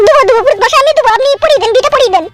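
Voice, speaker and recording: A woman's voice: extremely high pitch, expressive, rapid-fire, soft background music. A woman voicing an eager, coaxing middle-aged bride in a comic cartoon.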